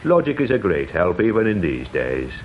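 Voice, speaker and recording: A long-winded police sergeant in a radio play, formal and eager to defer to his superior. His pitch swings widely as he explains things pedantically. The recording is slightly dull with faint noise. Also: very animated, normal speed. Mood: deferential, pleased. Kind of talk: radio drama acting.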